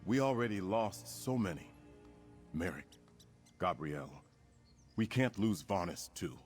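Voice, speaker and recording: A resolute fantasy war leader from a video game. His voice sits fairly low, and he speaks in formal, earnest, commanding lines. Music plays underneath. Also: very animated, measured pace, darkish tone. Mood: somber, resolute. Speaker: quest-giving game character. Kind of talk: scripted fantasy game dialogue.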